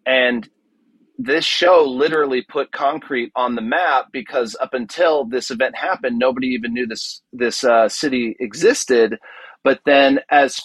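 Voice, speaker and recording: A man's voice: medium pitch, measured pace, a bit bright, breathy edge, dry recording, low-quality recording. A man talking casually as a podcast guest, telling stories about his audio drama work. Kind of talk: casual interview, animated storytelling.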